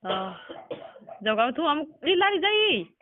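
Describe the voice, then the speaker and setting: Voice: sits high, very animated, slow pace, no room sound. A person with a Rajasthani accent speaking informally on a phone call, asking insistent, repeated questions. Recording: muffled band-limited phone call recording.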